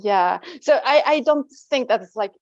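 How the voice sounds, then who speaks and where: A woman whose first language is Russian, a language teacher answering interview questions in English in a calm, matter-of-fact way with some hesitant fillers. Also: fairly high pitch, moderate pace, no room sound. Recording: dull dry lo-fi sound.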